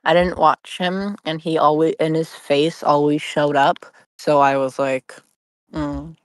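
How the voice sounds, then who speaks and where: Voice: medium pitch, flat delivery, unhurried, smooth, dry recording. A podcaster in casual group chat, bantering with playful mock indignation. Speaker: podcast regular.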